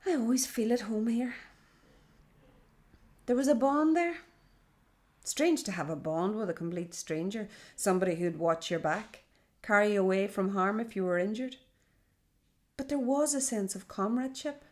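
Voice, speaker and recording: Woman, earnest reminiscence, middle pitch, heard from a distance. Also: animated, moderate pace, pauses often, a little mellow.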